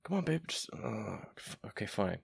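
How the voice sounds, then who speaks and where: A man acting a gravelly, flustered gamer boyfriend. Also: very animated, no echo, studio quality, full character voice. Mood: panicked pleading. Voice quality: deep, gravelly. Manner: halting, stammering, murmured. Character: flustered, affectionate young gamer boyfriend, hushed and pleading. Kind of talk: boyfriend ASMR roleplay.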